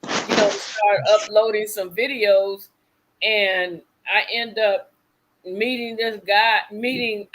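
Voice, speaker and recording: A woman's voice: medium pitch, fairly bright tone, low-quality recording. A woman hosting a livestream in casual chat, rambling and acting out what people said. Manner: chatty, rambling, acts out quotes. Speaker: livestream host. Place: desert home.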